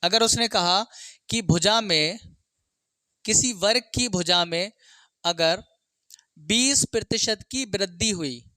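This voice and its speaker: A man's voice: sits high, slow, long pauses, pushed and bright, no room sound. A man teaching maths for an exam, working through problems step by step, asking and answering his own questions and mixing in English terms. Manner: instructional, asks and answers own questions, frequent check words.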